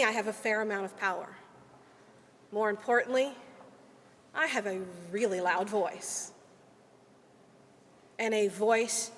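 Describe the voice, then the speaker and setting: A woman attorney giving an earnest, deliberate ceremonial speech with measured pauses. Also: mid-range voice, a bit bright, long reverb tail. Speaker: legal aid attorney. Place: large hall at a ceremony.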